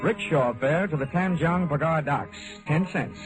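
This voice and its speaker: A man's voice: fairly high pitch, a little slow, natural voice, rough recording. An American man acting a wry, hard-boiled insurance investigator, narrating drily in an old-time radio detective drama. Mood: dry narration. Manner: wisecracking, dry first-person narration. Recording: vintage radio recording, music behind.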